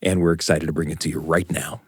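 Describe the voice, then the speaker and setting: A man hosting a story podcast, asking questions and giving introductions in a polished, expressive presenter's style. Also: rumbling low, fast, loud music behind the voice. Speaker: podcast host. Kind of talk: radio interview and scripted introductions.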